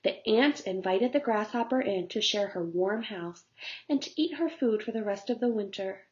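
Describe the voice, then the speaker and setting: A woman narrating a story for young children as a teacher would, in simple, deliberate phrasing. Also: medium pitch, lively intonation, lo-fi sound.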